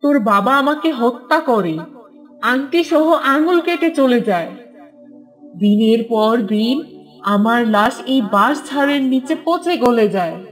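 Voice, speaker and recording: A woman's voice: fairly high pitch, lively intonation, slow, soft background music, low-quality recording. A woman voicing the bitter, vengeful ghost of a murdered young woman in a horror cartoon, in a dramatic manner. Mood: bitter, brooding.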